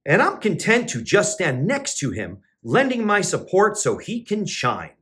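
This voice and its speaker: A man, a teacher and children's entertainer, reading a picture book aloud with expressive storybook narration. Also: a little slow. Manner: expressive storybook narration with sing-song pitch swings.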